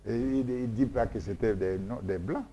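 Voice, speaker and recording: Emphatic, lecturing Congolese man, mellow voice. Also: on the low side, normal speed, slightly rough, muffled recording. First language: Mboshi. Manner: emphatic, repetitive, lecturing, many hesitations. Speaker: scholar being interviewed. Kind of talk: explanatory interview talk.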